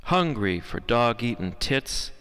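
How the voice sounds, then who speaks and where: An American man reading poetry with deliberate, dramatic phrasing and weighty pauses. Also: medium pitch, very animated, fairly bright tone, clean tone. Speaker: poet. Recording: long echoing hall reverb.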